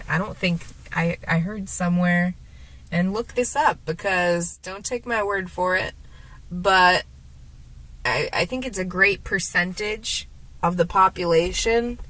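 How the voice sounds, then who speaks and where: A woman with a sore throat and a gravelly, deeper voice, rambling hesitantly to the camera from her car, with many fillers and restarts. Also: sharp tone. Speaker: vlogger. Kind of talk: rambling casual monologue while driving.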